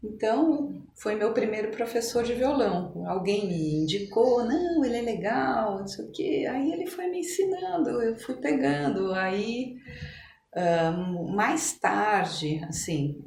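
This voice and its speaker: A mature Brazilian woman chatting as a podcast guest, telling stories in an animated but halting way that circles back on phrases. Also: fairly high pitch, creaky. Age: middle-aged or older. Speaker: podcast guest, doctor and amateur musician. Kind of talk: casual conversational storytelling in an interview. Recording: echoey room with some background noise.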